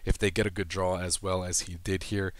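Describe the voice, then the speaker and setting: Low, flat-voiced man explaining a card game. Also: brisk. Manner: steady explanatory, frequent ums and self-corrections. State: losing his voice. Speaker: gameplay commentator. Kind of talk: casual game commentary over screen recording.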